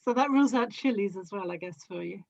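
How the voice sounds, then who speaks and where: Gentle woman guest, slightly dull lo-fi sound. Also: mid-range voice, fast, creaky, no room sound. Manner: gentle, giggly. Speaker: podcast guest, audio drama producer. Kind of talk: casual chat.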